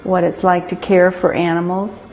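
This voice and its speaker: An American woman speaks informally, as if being interviewed. Her voice is on the low side with plenty of vocal fry, and there is noise in the background. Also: level tone, moderate pace, darkish tone, poor audio. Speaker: farm owner.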